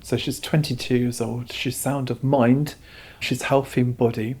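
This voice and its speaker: A man with a husky, slightly rough voice talks as an interviewed curator. He is earnest and reflective, and his intonation stays very flat. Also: medium pitch, normal speed. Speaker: exhibition curator being interviewed. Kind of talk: explanatory interview talk.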